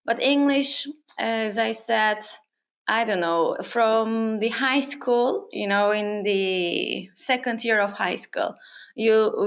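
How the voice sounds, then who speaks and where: A woman in her thirties from Turkey, a native Turkish speaker, answers an interviewer's questions. Her voice sits a little high and has a gravelly edge. She talks thoughtfully, pausing and restarting as she goes. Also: a bit bright. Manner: thoughtful, with frequent fillers and restarts. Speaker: interview guest, freelancer. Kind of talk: casual interview conversation. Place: her home on an island.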